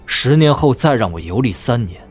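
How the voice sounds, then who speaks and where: Man acting young lord, bitter and proud, deliberate delivery, medium pitch, wuxia audio drama, faint music behind. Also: expressive, natural voice. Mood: bitter, brooding. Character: proud, brooding young lord turned swordsman, bitter and sardonic.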